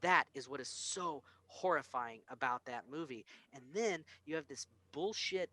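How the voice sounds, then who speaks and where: Bright-voiced, emphatic man talking films. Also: on the high side, normal speed. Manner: emphatic, opinionated, profane. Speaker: podcast host. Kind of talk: casual film discussion.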